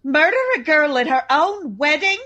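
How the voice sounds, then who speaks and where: A woman's voice: sits high, expressive, moderate pace, pushed and bright. An actress playing a brash, lovesick young chorus girl in a comedic old-time radio drama, with slangy, melodramatic tough-dame talk.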